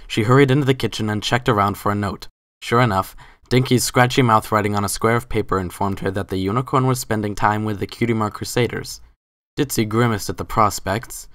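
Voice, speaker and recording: A man's voice: fairly low pitch, a bit bright, no room sound, clean studio sound. A man giving a scripted dramatic reading as the narrator of a story.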